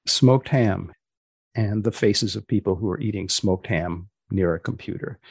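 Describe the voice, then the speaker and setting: Male podcast host, slightly low and gravelly, deadpan and deliberate, dry studio sound. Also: no echo. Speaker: podcast host and magazine editor. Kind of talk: podcast hosting, scripted intro and interviewing.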